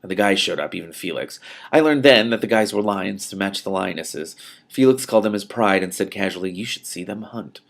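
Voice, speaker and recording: A man reading a novel aloud as host, in an expressive style with emphatic phrasing and off-the-cuff commentary. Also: deep voice, a little fast, creaky. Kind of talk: expressive audiobook-style reading with spontaneous reactions.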